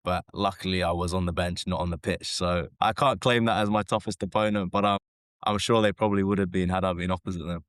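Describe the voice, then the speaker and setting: Deep-voiced man recalling his rugby career. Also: fast talker, smooth, no echo, clean studio sound. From England. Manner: relaxed, rambling with fillers. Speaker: guest, former rugby sevens player. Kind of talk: casual podcast chat.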